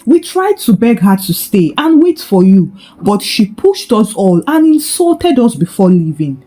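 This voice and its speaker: A woman's voice: medium pitch, very animated, measured pace, dark tone, poor audio. A woman storyteller voicing a spiteful, smug, lying sister-in-law in a dramatised folktale. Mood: feigned innocence.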